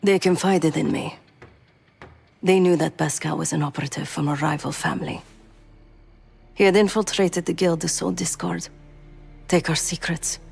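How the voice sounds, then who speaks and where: A woman acting a hardened, guilt-haunted former assassin in a video game drama, speaking in a measured, intense way. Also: low-pitched, fairly even intonation, vocal fry, darkish tone, breathy edge, faint music behind, heavily acted. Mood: subdued, confiding.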